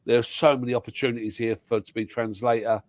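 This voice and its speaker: Man hosting a casual live chat, middle pitch, rambling, dry sound, many restarts. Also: flat delivery, fast, darkish tone, no echo. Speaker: host of live panel chat. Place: home in Thailand.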